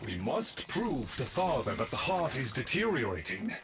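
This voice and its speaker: A man's voice: medium pitch, huge pitch swings, normal speed. A man voicing a solemn, theatrical creature companion in a video game, speaking in grand, courtly phrases. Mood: earnest resolve. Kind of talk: video game character dialogue. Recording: loud game gunfire and ambient noise.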